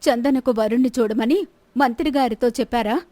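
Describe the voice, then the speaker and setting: A woman's voice: a little high, a little fast, dark tone, dry recording, studio quality, lightly acted. A woman voicing an imperious, indignant queen and protective elder sister in a story drama, stern and commanding. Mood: indignant, challenging. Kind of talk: scripted Telugu moral-story dramatization.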